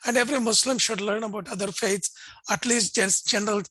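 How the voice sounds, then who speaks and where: A man, an Urdu speaker, talking earnestly as a guest and pausing often with fillers. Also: high voice, fairly even intonation, bright, forceful tone, husky, no room sound. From India. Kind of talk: conversational interview.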